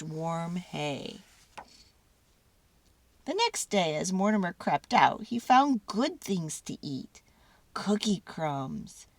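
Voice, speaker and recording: Female storyteller, dramatic picture-book reading, slow pace, creaky edges, drawn-out words. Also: on the low side, no room sound. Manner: theatrical, deliberate phrasing with drawn-out words. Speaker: children's storyteller. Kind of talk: dramatic storybook read-aloud.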